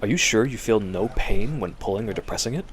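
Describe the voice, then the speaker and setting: A man acting a concerned, measured doctor who mutters as he examines a patient in a radio drama. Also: on the low side, expressive, a little hiss or hum, close to an everyday voice. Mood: fascinated, brisk. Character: concerned male doctor examining a strange mole.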